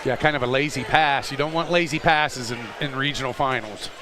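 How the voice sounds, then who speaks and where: A man's voice: medium pitch, brisk. An American man giving plain-spoken color commentary and critique during a live basketball game. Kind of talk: sports analysis commentary. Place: indoor high school gymnasium. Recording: noisy gym background. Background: gym noise.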